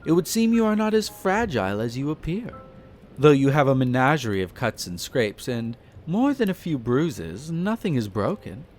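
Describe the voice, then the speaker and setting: A man playing a possessive, flirtatious vampire master in a roleplay audio drama, speaking formally and condescendingly with theatrical pauses. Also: mid-range voice, very animated, lightly acted. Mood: composed, haughty. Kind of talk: scripted roleplay audio drama, addressing the listener. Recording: music behind the voice. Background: background music.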